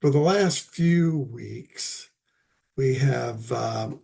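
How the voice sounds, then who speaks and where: An older man hosting a poetry lecture, his voice hoarse and raspy after an illness, talking haltingly with many pauses and fillers. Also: mid-range voice, dark tone.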